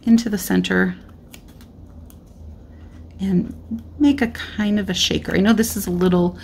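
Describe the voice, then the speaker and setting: A woman talks through a paper craft at her desk, unhurried and chatty, with a gravelly edge to her voice. She is a little hoarse and clears her throat now and then. Faint room noise sits under her voice. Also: mid-range voice. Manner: relaxed, chatty, thinking aloud with fillers. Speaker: card-making tutorial host. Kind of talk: casual crafting tutorial narration. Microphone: overhead camera, hands only on craft mat.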